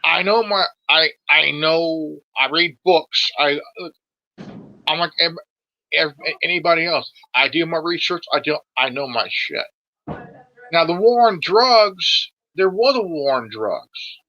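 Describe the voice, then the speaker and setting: A man's voice: fairly high pitch, animated, measured pace, sharp tone, dry recording, muffled recording. A man in his forties in a casual political discussion, sweary and emphatic, often stumbling and repeating his words. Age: 40s.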